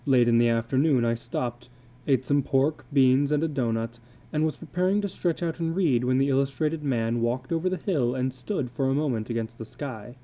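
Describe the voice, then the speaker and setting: A man narrating a literary story as a dramatic reading, with measured, deliberate phrasing. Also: mid-range voice, dark tone.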